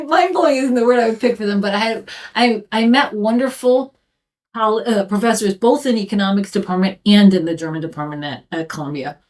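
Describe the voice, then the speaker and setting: A woman's voice: fairly high pitch, a little mellow, distant mic. A woman in her fifties, a professor, answering interview questions thoughtfully with frequent ums and restarts. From United States. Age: 50s.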